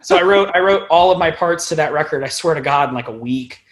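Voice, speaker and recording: A man's voice: fairly high pitch, fast. A man in his twenties chatting casually as a musician being interviewed, rambling and self-deprecating. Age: 20s. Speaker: podcast guest, band musician.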